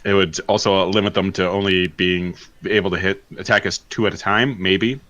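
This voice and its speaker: Low-voiced hesitant player. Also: male voice, fairly even intonation, fairly bright tone. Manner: hesitant, halting questions. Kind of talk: casual game chat.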